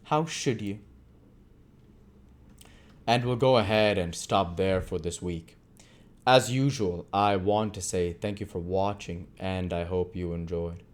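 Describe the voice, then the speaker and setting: A man's voice: low-pitched, fairly even intonation, unhurried. A man reading literary narration aloud as an amateur audiobook reader.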